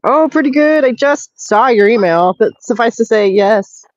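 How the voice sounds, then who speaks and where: A woman's voice: a little high, expressive, normal speed, dull audio, slight background noise. A middle-aged woman hosting a casual call, chatty and tired from being sick, reading chat messages aloud. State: tired, recovering from illness. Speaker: channel host.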